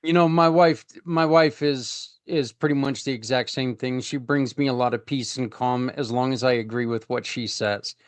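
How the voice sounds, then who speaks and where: A man's voice: mid-range voice, steady tone, moderate pace, few pauses, smooth, no echo. A man hosting a casual interview, chatty and joking, who grew up in New Jersey and Colorado. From New Jersey, raised in Colorado. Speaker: host.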